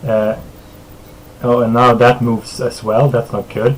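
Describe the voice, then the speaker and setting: A man from Sweden whose first language is Swedish, hosting a casual streamed podcast, laid-back and quick to chuckle, with filler words and trailing sentences. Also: mid-range voice, little pitch movement, rough recording. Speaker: podcast host.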